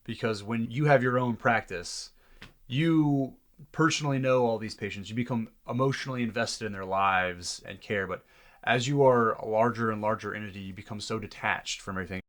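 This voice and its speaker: A man's voice: fairly low pitch, brisk, a bit bright. A man speaking as a doctor in an earnest, reflective interview conversation. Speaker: doctor, podcast guest.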